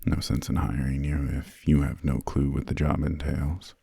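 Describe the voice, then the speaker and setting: A man playing an imperious, centuries-old vampire lord in an ASMR roleplay, courteous but condescending, with formal, measured, soft speech and a breathy, gravelly voice close to the microphone. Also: a bass voice, little pitch movement, no echo, heavily acted. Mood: condescending, wry. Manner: slow, measured, formal, haughty, drawn-out pauses. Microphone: close, dry studio microphone.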